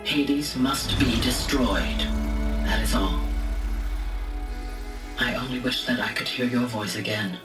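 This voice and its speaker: Echoey, solemn female AI. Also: a bass voice. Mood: hopeful, solemn. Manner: formal, measured, solemn. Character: female artificial intelligence, calm, caring, grave and urgent. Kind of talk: scripted video game voice acting. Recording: echoey, distant, under loud music.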